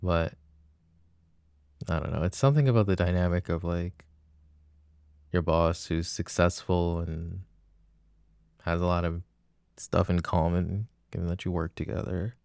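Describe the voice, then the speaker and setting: A man's voice: low-pitched, fairly even intonation, a little slow, gravelly, long pauses, smooth, dry recording, clean studio sound. A man playing a jealous, insecure but devoted boyfriend in an intimate roleplay audio, speaking in a hesitant, earnest way. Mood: vulnerable, insecure. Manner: hesitant, earnest, soft-spoken pleading. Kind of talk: intimate boyfriend roleplay ASMR, acted monologue.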